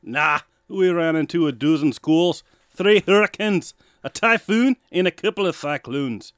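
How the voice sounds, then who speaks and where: A man's voice: on the high side, measured pace, fairly bright tone, clear, resonant tone, dry recording, studio quality. A man playing a jolly old sea captain with hearty pirate talk in a comedy audio drama. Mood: boastful, blithe. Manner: hearty, salty nautical exclamations.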